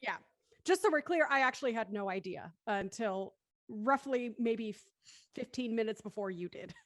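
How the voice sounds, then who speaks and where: High-voiced woman hosting an online show. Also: animated, moderate pace, a bit bright, dry recording, studio quality. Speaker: host of an online radio drama after-show. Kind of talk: casual hosting, audience sign-off.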